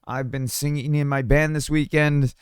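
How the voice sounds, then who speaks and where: A man with a slightly hoarse, sore throat talking casually over a screen share, rambling with fillers and restarts. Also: on the high side, flat delivery, moderate pace, dry recording, studio quality. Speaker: crypto mining YouTuber. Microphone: studio desk mic.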